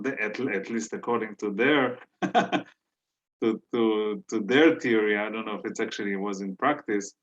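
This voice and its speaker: A man's voice: on the low side, a bit bright. A man whose first language is Hebrew, giving an informal lecture with many fillers and restarts. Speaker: guest lecturer. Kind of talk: informal lecture on biblical archaeology. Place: synagogue hall. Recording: dull lo-fi sound.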